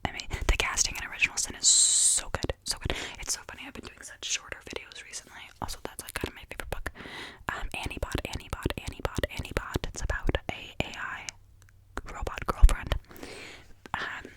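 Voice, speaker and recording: A woman's voice: brisk, sharp tone, rough recording. A young woman from Canada, whispering to a close mic as she rambles casually with many fillers and restarts, in the style of ASMR. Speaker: ASMR creator.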